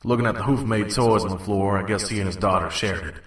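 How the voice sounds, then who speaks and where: A man voicing a wary, gruff stallion trader who narrates a wasteland audio drama tersely. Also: lowish voice, fast talker, reverberant, recorded from a distance, close to an everyday voice. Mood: brooding. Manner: terse, guarded. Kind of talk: first-person story narration and dialogue.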